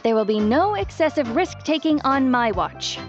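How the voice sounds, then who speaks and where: A woman voicing a bossy, energetic ex-warrior auntie who guards hot springs in an anime game, stern and emphatic. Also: sits high, normal speed. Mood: stern, satisfied. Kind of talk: anime video game voice acting. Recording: muffled game audio under music.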